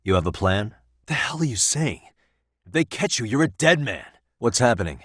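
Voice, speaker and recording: A man acting as a composed, sharp-witted defense attorney in a video game, speaking in measured, articulate, formal courtroom lines with a probing insistence. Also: medium pitch, sing-song, husky, no room sound, studio quality. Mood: urgent, alarmed. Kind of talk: scripted dramatic courtroom dialogue in a game.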